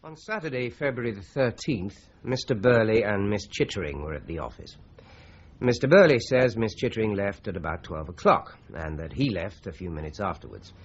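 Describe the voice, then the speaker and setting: A courteous British detective inspector in an eighties radio drama. He is businesslike and methodical, with a widely varied pitch. The recording is dull, with some background hiss. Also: lively intonation. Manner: measured, businesslike, politely probing. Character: methodical, courteous male police inspector questioning suspects. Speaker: actor in a radio crime drama.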